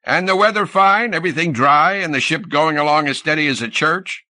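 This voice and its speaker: A man voicing a sour, suspicious middle-aged ship's captain in an audiobook, with a gruff, blustering manner of questioning. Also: on the high side, brisk, bright, forceful tone, no echo, voice pushed up.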